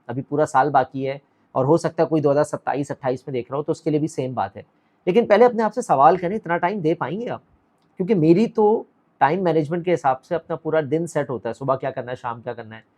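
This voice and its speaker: A man's voice: a little high, animated, fast, a little mellow. A middle-aged man, the founder of an academy, giving a direct, motivational talk to camera and putting questions to the viewer. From Pakistan.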